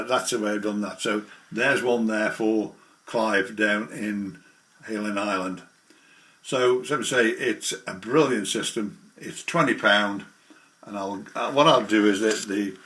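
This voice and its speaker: A man with a slightly low, forward, bright voice. He presents like a hobbyist showing his screen, casually explaining as he goes. His delivery wanders and halts. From the English Midlands. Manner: rambling, halting explanations. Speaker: hobbyist presenter demonstrating software.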